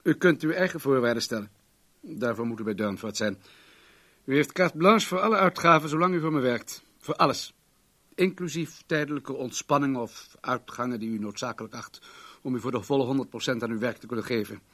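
An actor playing a wealthy, controlling businessman in a radio play, formal, guarded and self-assured in a measured way. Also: mid-range voice, a bit bright, lightly acted. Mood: confident.

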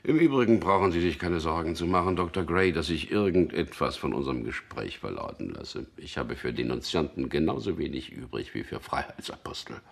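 An actor playing a weary, evasive prisoner in a radio drama, with a husky, gravelly voice that often sinks toward a whisper. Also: male voice, rumbling low, lively intonation, brisk, few pauses, exaggerated voice. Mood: cool, detached. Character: weary, evasive man, interrogated prisoner recalling his past. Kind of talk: radio drama acting with inner monologue.

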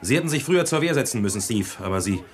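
An actor playing an anxious, loyal aide in a radio play, talking fast about trouble outside. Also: male voice, mid-range voice, expressive, close to an everyday voice. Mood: worried. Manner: anxious, talkative. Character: worried, loyal male aide. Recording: crowd murmur behind.

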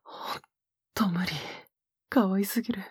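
An actor playing a doting, possessive young man in an intimate audio drama, teasing and cooing in a breathy voice that often drops into whispers. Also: medium pitch, steady tone, unhurried, background noise, close to an everyday voice. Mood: adoring, cooing.

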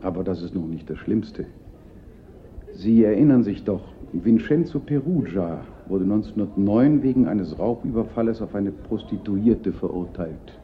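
An actor playing an urbane former police prefect in a German radio play, speaking with ironic consolation. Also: male voice, on the low side, normal speed, natural voice. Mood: wry, consoling. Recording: thin phone-like band, noisy radio-play recording.

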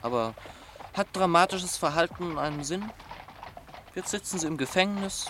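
Radio play role: irreverent young gentleman student played by a man, easygoing, highish voice with swooping intonation. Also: animated, normal speed, background noise, lightly acted. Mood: chatty, candid. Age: young adult. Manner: chatty, irreverent, theatrical. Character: flippant, easygoing young aristocrat student, governor's son. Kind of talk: German radio drama acting.

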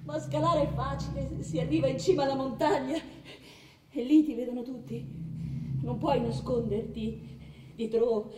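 A woman's voice: high voice, fairly even intonation, normal speed, crowd noise, natural voice. An actress playing a scheming queen who relentlessly goads in a theatrical, insistent way, repeating her phrases. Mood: menacing. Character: scheming queen, mother plotting against her own son, relentless. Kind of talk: theatrical stage drama acting. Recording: distant, echoey hall with background noise.

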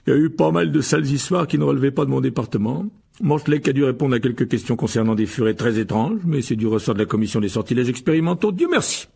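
A sheepish middle-aged wizard father, acted out by a male reader for a storybook. He is excitable and easily flustered, stumbling and backtracking as he goes. His voice is husky and swings widely in pitch. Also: fast talker. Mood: tired, chatty. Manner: stammering, hesitant, backtracking.

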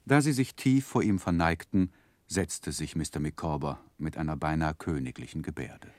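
An actor playing a reflective, wry gentleman who narrates his own youth in a literary radio play in German. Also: rumbling low, moderate pace, no echo, clean studio sound. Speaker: first-person narrator.

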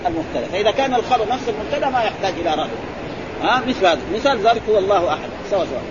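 Brisk high-voiced sheikh quizzing students. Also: male voice, animated. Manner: quizzing, prompting with repeated "eh?" and "ha?". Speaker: sheikh teaching Arabic grammar. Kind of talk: classroom explanation with questions to students. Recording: muffled recording with crowd and traffic noise.

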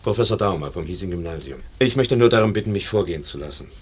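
A gentle, coaxing professor in a radio play. His voice is low and husky and moves lively up and down. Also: quick pace. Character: gentle, polite male schoolteacher.

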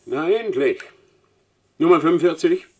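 An actor playing an imperious, demanding dean of mathematics in a German science fiction radio play, with a pompous, condescending manner. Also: male voice, a little high, animated, measured pace, a little mellow, husky. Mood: brisk, superior.

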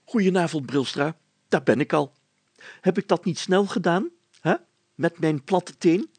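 A man's voice: fairly high pitch, a little slow, darkish tone, slightly rough, no room sound, pitched above the performer's own voice. A man voicing a fussy, self-important older village mayor in a storybook, insistent and exclamatory. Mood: eager, demanding.

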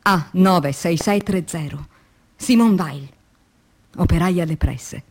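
An actress playing a young, tormented philosophy teacher turned factory worker, in a radio drama monologue with measured, deliberate phrasing and dramatic pauses. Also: female voice, lowish voice. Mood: grave, stern. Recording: clearly noisy recording with ambient sound.

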